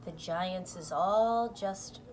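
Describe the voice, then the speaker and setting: A woman's voice: lowish voice, slow, darkish tone. Storybook giant played by a female reader: friendly, emphatic, quaint muddled grammar, noisy amateur sound. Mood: cautioning. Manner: emphatic, quirky, ungrammatical phrasing.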